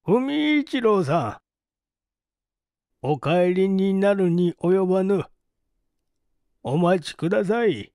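A man voicing a penitent old man in his sixties, halting and solemn, with old-fashioned formal speech, in an audiobook drama. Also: sits high, animated, a little mellow, no echo, studio quality, full character voice. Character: anguished, penitent old man over sixty, doting father.

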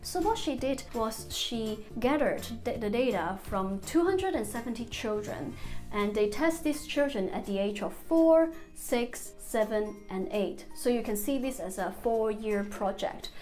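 Woman, 30s, Cantonese first language, fairly high voice, teaching parents from an office desk over faint music. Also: expressive, unhurried, a little mellow. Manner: explanatory, lists numbered points with examples. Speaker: literacy researcher. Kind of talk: educational talk to parents. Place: office with bookshelves, indoors.

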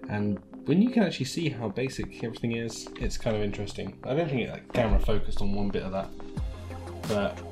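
A man's voice: lowish voice, animated, fast, over music, low-quality recording. A man in his thirties chatting casually to camera as he reviews products, in a joking, rambling, mock-dramatic way. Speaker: vlogger reviewing novelty products. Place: small room at home, indoors.

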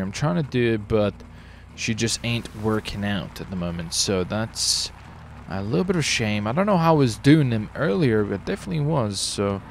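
A man hosts a gaming video in a mid-range voice that swings up and down in pitch. His commentary is casual, slangy and peppered with swearing, with some car noise behind it. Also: vocal fry. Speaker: streamer hosting a gaming video. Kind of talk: casual excitable gameplay commentary.

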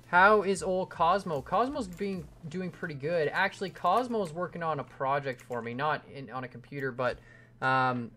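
A man's voice: a little high, normal speed, sharp tone, faint music behind. A young man streaming a game live from his home computer, chatting and rambling as he answers viewers. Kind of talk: casual live stream chat while building in a game.

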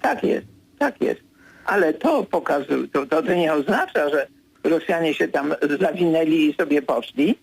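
A man's voice: a little high, expressive, unhurried, gravelly, breathy edge. An older man giving political analysis as a radio guest, speaking insistently and stressing his key points. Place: radio studio.

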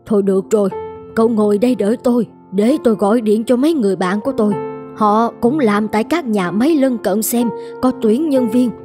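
An actress playing a kindly, brisk older woman who runs a noodle shop in a cartoon, plain-spoken and reassuring. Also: on the high side, dark tone, natural voice. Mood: helpful. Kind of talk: animated moral-tale voice acting. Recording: music under the voice.

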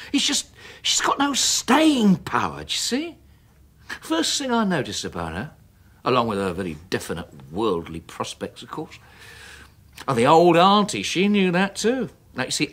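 An actor playing a scheming, chummy English husband in a rambling, repetitive, matey manner, in a 1980s radio drama. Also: male voice, fairly high pitch, a bit bright, breathy edge, heavily acted.

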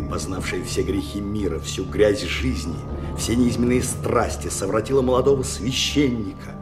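A man acting a grave executioner bent on avenging his brother. He accuses slowly and solemnly in radio drama style, with loud music underneath. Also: lowish voice, close to an everyday voice. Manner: grave, accusing, deliberate delivery.

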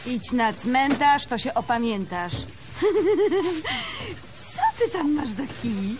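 An actress playing a brash, scornful young shop cashier in a radio play, slangy, mocking and sassy. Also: female voice, on the high side, big pitch swings, slow, noisy background. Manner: drawling, dismissive, sassy. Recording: loud music under the voice.

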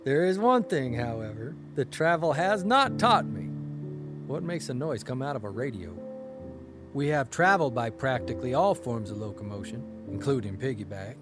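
A man's voice: medium pitch, expressive, normal speed, over music, close to an everyday voice. An American man playing a wry, teasing newspaper columnist in an audio drama. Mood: dry, narrating. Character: wry, teasing, middle-aged travelling newspaper columnist husband. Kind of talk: audio drama dialogue and storytelling.

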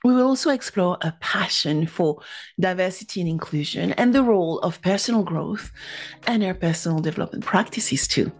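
A woman's voice: fairly low pitch, lively intonation, normal speed. A woman hosting a business podcast, reading a scripted introduction and then interviewing a guest over a video call. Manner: enthusiastic, reads a scripted intro. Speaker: podcast host.

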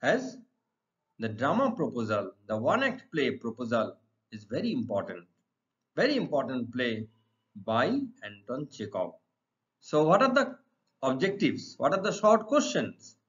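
A middle-aged man with an Indian accent, teaching English to students in deliberate, emphatic, repetitive phrases. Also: mid-range voice, wildly swooping pitch, fairly bright tone. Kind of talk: exam lesson explained to students. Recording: dull, lo-fi sound.

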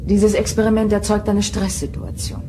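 An actress playing a calm, empathic ship's counsellor in an audio drama. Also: female voice, mid-range voice, moderate pace, background noise, lightly acted. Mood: measured concern. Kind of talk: German audio drama acting. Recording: studio drama with music underneath.

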